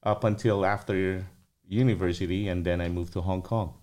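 A man's voice: sits low, fairly even intonation, moderate pace, a little mellow. A middle-aged man from the Philippines, a restaurant owner being interviewed, talking casually with many fillers and restarts.

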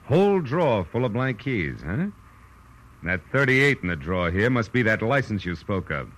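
A man's voice: fairly low pitch, very animated, normal speed, fairly bright tone. A man playing a tough, wry American private detective in a 1940s-style radio drama, clipped and wisecracking. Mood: menacing, commanding. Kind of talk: 1940s radio drama acting and noir narration.

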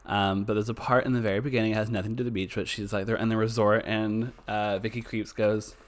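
Low-voiced campy man chatting. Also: little pitch movement. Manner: campy, enthusiastic, quick asides. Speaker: podcast co-host. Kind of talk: casual chat.

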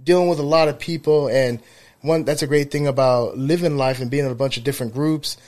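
A man's voice: medium pitch, steady tone, brisk, darkish tone. Rambling man in his thirties. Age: 30s. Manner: rambling storyteller, profane, frequent fillers. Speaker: podcast co-host, barber. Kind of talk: casual podcast conversation.

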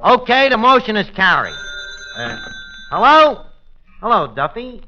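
High-pitched brash New wiseguy. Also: male voice, sing-song, unhurried, pushed and bright, full character voice. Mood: brisk, cocky. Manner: malapropisms, wisecracking, slangy. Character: brash, streetwise tavern manager, mangling big words, male. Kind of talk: 1940s radio comedy acting. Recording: noisy, muffled old radio recording.

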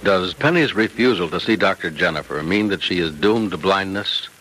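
A male announcer narrates a vintage radio serial. His fairly low voice is bright and forceful, with dramatic scripted delivery on an old broadcast recording. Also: normal speed, slight background noise, low-quality recording.

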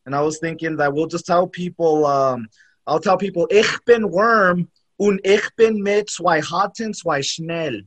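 A man's voice: on the high side, unhurried. A man co-hosting a casual podcast, joking around, laughing often and trying out a few German phrases. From United States. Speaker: podcast co-host.

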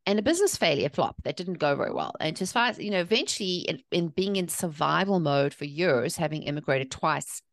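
A woman's voice: low voice, expressive, fairly quick, hardly any pauses, no echo. A middle-aged woman from South Africa with a South African accent, a podcast guest telling her story candidly and with animation. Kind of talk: conversational interview.

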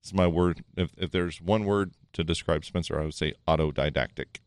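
A man with a low voice, a musician, reflecting thoughtfully and haltingly in casual chat. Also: clear, resonant tone, dry recording, studio quality. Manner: thoughtful, halting, many restarts.

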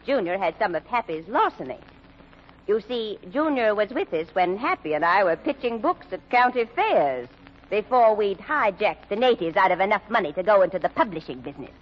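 A woman's voice: mid-range voice, big pitch swings, brisk. An actress playing a cynical old widowed mother in an American old-time radio mystery, speaking in a blunt, sardonic, folksy way. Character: sharp-tongued, cynical old widowed mother of a publishing family. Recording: dull vintage radio recording.

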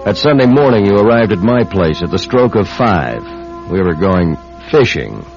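An actor playing a wisecracking, skeptical bachelor writer in a radio drama, narrating and acting in a sardonic way. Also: male voice, on the low side, sing-song, moderate pace, music in the background. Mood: weary recounting. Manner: wry, sardonic. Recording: dull lo-fi radio drama recording.

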